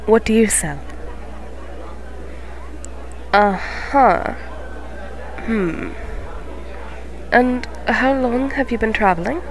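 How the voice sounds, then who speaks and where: A woman playing a gentle, motherly young cat witch who keeps a tavern, speaking softly and formally in an ASMR fantasy roleplay. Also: a little high, animated, some chatter behind, poor audio. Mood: friendly, curious. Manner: soft-spoken, formal, unhurried phrasing. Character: gentle eighteen-year-old neko witch tavern keeper, motherly, polite.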